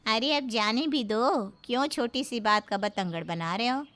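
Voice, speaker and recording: A woman voicing a calming, mediating relative in a scripted story, with a placating manner. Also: a little high, moderate pace, no room sound. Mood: soothing, chiding.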